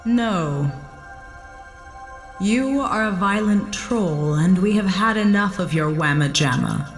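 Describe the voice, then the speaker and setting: An actress playing an imperious alien entity speaking through a human host, stilted and declarative, in an audio drama. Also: female voice, medium pitch, slow pace. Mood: cold, commanding. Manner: stilted, declarative, borrowed slang in short flat pronouncements. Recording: roomy echo, music behind.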